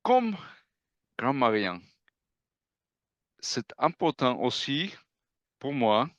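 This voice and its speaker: A man who is not a native speaker giving a grammar report at an online club meeting, halting with many restarts. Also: mid-range voice, very animated, a bit bright, no echo. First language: not French. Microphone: video call.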